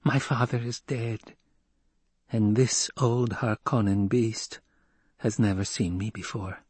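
Man voicing an eerily composed little girl, unhurried. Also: fairly low pitch, breathy edge, no echo. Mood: cool defiance. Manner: calm, precise, taunting. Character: eerily self-possessed, defiant little girl, coolly malicious. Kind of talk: dramatic audiobook character voice.

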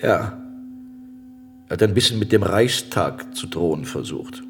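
Low-voiced, coldly courteous general. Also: male voice, animated, music in the background. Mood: dismissive. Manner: polite, measured, condescending, evasive. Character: coldly courteous, unyielding Ottoman general in power. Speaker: actor in radio drama. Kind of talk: German radio drama acting.

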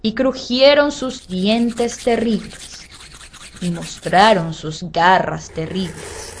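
A woman narrating a scripted children's story with dramatic pauses and drawn-out phrases. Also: medium pitch, fairly even intonation. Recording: faint background noise.

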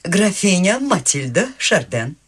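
A bubbly 80-year-old countess. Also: female voice, lowish voice, measured pace, breathy edge, heavily acted. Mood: delighted. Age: 80s (figure). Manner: lively, chatty, bubbly and warmly insistent. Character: cheerful eccentric 80-year-old countess, generous hostess. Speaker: lead character. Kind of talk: radio play acting.

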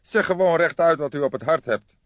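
A man voicing a calm, stubborn old police detective in an audiobook, weary and deliberate in his delivery. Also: fairly high pitch, dry recording, lo-fi sound, voice pushed up, lightly acted. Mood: stubborn, irritated. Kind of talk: audiobook character dialogue.

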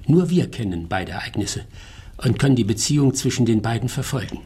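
Measured, shrewd Victorian detective. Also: male voice, medium pitch, animated, dark tone, slightly rough. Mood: pensive, probing. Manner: measured, formal, deliberate. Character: shrewd, composed male Victorian private detective. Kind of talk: German radio drama acting.

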